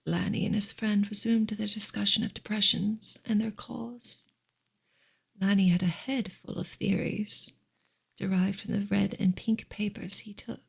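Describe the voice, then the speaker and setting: A woman reading a novel aloud as ASMR in a soft, breathy whisper, with slow, gentle, measured delivery. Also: mid-range voice, level tone. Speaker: ASMR reader of a classic novel.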